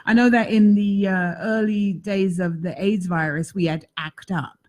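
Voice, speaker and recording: A woman's voice: mid-range voice, steady tone, a little slow, darkish tone. Chatty woman talk-show host. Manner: chatty, rambling, many fillers and backchannels. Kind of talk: interview conversation.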